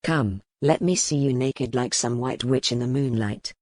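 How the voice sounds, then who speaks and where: An actor playing a stern, brooding medieval duke in an audiobook, with a commanding, formal manner. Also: male voice, mid-range voice, unhurried, a little mellow, no echo, clean studio sound, close to an everyday voice. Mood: taunting, commanding. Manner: commanding, formal period speech. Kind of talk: dramatic audiobook character acting.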